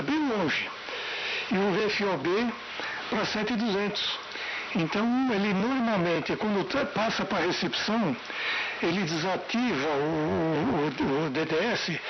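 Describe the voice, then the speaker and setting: A Brazilian man with a high voice hosts a ham radio round. His speech comes through a dull, muffled transmission with clear noise. He explains in a casual, conversational way, often checking in with short tag questions. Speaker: amateur radio net host.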